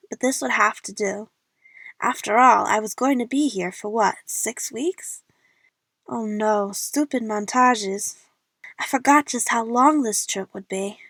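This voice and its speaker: A woman's voice: mid-range voice, no room sound, close to an everyday voice. A young woman playing an eager, chatty movie fan who narrates her adventure in the first person for an audio drama. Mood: wry narration.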